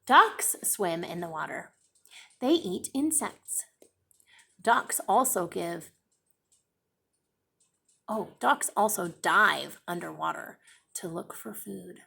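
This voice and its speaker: A young woman teacher reads a picture book to children at a slow, patient pace. Her pitch swings widely as she explains words and pages, and her bright, clear tone carries a gravelly edge at the ends of phrases. She is recorded close on a desk webcam in a classroom. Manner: slow, expressive storytime reading with explanations.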